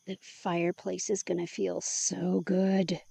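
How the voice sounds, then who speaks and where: An actress playing a curious, teasing young woman with a husky, gravelly voice in an ASMR audio drama, speaking close to the mic. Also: on the low side, completely flat delivery, slow pace, warm tone, dry recording, heavily acted. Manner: teasing, playful, drawling.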